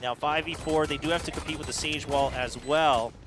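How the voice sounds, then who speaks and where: A man casting a competitive match in a slightly high, chatty voice, breaking down plays and laughing now and then. Loud game music runs under his talk. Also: fairly even intonation, fairly quick. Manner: chatty, analytical, laughs often. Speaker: esports caster. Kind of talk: live esports commentary.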